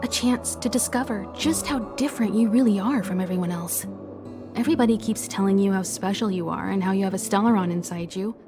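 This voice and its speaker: A woman playing a composed, encouraging mentor in a video game, speaking in a gentle, reflective and reassuring way. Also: mid-range voice, a little fast, natural voice. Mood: gently encouraging. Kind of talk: dramatic video game dialogue. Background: background music.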